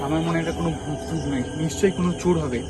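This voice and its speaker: A man acts a brash, scolding young guy in a ghost comedy skit. His voice is high for a man, and loud music plays behind the dialogue. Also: rapid-fire, natural voice. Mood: bossy.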